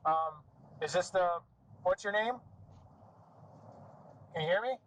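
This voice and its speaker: A man's voice: sits high, slow, low-quality recording. A male police deputy talking casually on the job. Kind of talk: casual spoken talk on the job. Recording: loud vehicle noise, body camera audio.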